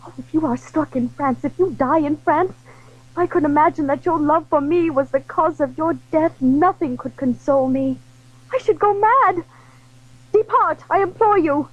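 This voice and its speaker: A woman's voice: sits high, moderate pace, highs cut off, close to an everyday voice. A woman playing an anguished, majestic queen in an audio drama, speaking formally and pleadingly, torn between love and honor. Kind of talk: theatrical audio drama acting.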